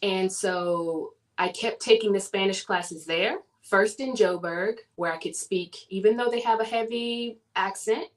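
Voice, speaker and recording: A woman from the southern United States chats as an interview guest. Her pitch sits in an ordinary middle range. She tells her story with animation and laughs often. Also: unhurried, hardly any pauses, poor audio. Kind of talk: casual interview chat.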